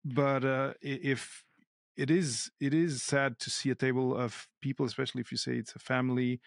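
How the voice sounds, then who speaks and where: A man's voice: mid-range voice, flat delivery, moderate pace, gravelly, no room sound, studio quality. A man from Portugal, speaking natively, talking as a podcast guest in a thoughtful way, often searching aloud for the right words. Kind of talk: casual conversation.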